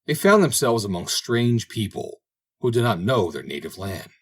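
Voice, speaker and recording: Husky, animated male storybook narrator. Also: fairly low pitch, moderate pace. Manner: dramatic, expressive storytelling. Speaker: audiobook reader. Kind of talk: scripted fairy tale narration.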